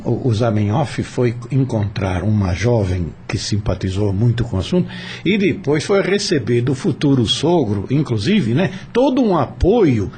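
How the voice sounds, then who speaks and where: A man with a Brazilian accent explaining ideas in a discussion, haltingly, repeating words as he searches for the next. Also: medium pitch, dark tone, a little hiss or hum.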